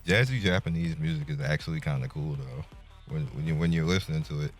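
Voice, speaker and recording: A man's voice: very deep voice, quick pace. A man giving casual, laid-back, slangy gameplay commentary. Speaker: gaming content creator. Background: faint game music.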